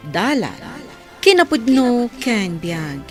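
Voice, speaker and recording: A woman's voice: on the high side, sing-song, slow, faint music behind. A woman with an Ilocano accent reading scripted narration for a radio drama.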